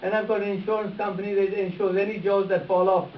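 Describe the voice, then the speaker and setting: Echoey, higher-pitched man teaching a live demonstration. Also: level tone, quick pace. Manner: hands-on, repeats himself, addresses volunteers directly. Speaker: face reading teacher. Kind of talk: live demonstration, explaining to volunteers. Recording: echoey, distant mic, dull sound with hiss.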